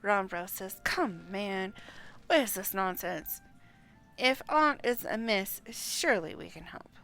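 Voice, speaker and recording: A woman's voice: medium pitch, expressive, slow, fairly bright tone, faint music behind. A woman livestreaming a game, chatting and reading dialogue aloud, sometimes stumbling over names. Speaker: game streamer.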